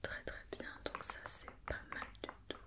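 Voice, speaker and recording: A woman whispering an ASMR roleplay as a doctor giving an examination, with soft, reassuring and encouraging step-by-step instructions. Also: normal speed. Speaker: ASMR performer playing an occupational doctor examining a robot.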